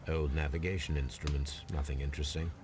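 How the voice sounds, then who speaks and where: An actor playing a composed Victorian gentleman detective in a video game, with an English accent and a measured, precise delivery. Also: extremely low pitch, flat delivery, music in the background. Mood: musing, observant. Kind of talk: video game dramatic acting. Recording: clear background noise and ambience.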